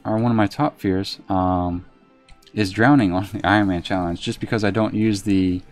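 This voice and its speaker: Soft-spoken man streaming a game. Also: on the low side, soft background music. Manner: reserved, unhurried, talks while playing. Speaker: live streamer. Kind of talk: casual gameplay commentary, reading chat.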